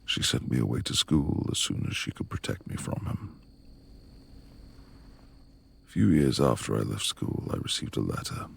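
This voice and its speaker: A reclusive lord of the manor, acted by a man, speaks in an extremely low rumble thick with gravel. His hushed, husky delivery is formal and halting, a brooding figure with tenderness under the gruffness, made for intimate romance audio roleplay. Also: expressive, exaggerated voice. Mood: somber, confessing.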